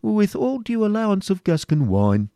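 A man's voice: high-pitched, big pitch swings, normal speed, warm tone, clear, resonant tone, no echo, clean studio sound. A man voicing an anxious, obsequious and crafty moneylender held captive, with hesitant, repeated words and sighing interjections. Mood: sly.

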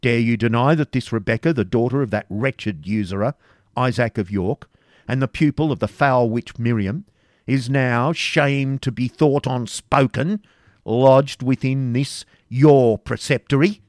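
A man's voice: lowish voice, animated, a little mellow, no echo, studio quality, pitched above the performer's own voice. A man putting on a severe, commanding old Grand Master. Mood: stern, accusing. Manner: severe, commanding, slow and emphatic. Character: stern, severe elderly male Grand Master of a knightly order.

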